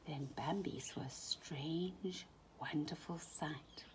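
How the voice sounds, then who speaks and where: A woman reading a children's story aloud in an animated, dramatic way, often dropping into hushed tones. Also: deep voice, takes it slowly, in a whisper. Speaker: storybook reader.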